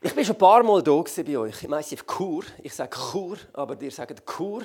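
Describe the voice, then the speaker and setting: A man in his thirties from the Basel region with a Swiss German accent, speaking as guest pastor on a church stage, lively and joking, with many fillers. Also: on the high side, fairly quick, slight background noise. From Basel region, Switzerland. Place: large church hall on stage.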